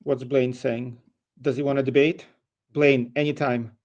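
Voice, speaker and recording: A man's voice: medium pitch, flat delivery, measured pace, darkish tone, muffled recording. A man talking casually as a phone-in guest on a football show, hedging and matter-of-fact as he argues. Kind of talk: casual football debate chat.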